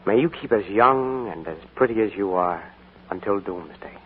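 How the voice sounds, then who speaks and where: A man's voice: fairly low pitch, lively intonation, normal speed, in a large hall, close to an everyday voice. A man playing a charming young American soldier. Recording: tinny 1940s radio broadcast, faint hiss.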